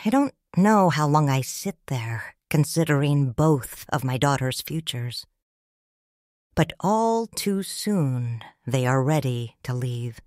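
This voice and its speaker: A woman narrating in first person as a grieving widowed noble mother in a fan audio drama, quiet and restrained. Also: very deep voice, animated, no room sound, clean studio sound, exaggerated voice. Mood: sorrowful. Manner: slow, hushed storytelling.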